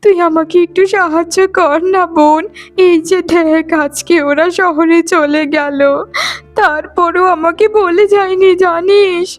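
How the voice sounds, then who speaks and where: A woman's voice: very high-pitched, steady tone, measured pace. A woman voicing a jealous, spiteful young wife in a melodramatic cartoon, whining and scolding theatrically. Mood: feigned misery. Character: jealous, scheming young wife, twin sister, whiny and spiteful. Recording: loud music bed under the voice.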